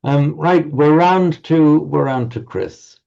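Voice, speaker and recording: A man's voice: a little high, vocal fry, a little mellow, lo-fi sound. A man teaching an online language class, patiently correcting pronunciation and often stammering over his words. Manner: halting, stammering repeats, patient. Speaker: Sanskrit teacher.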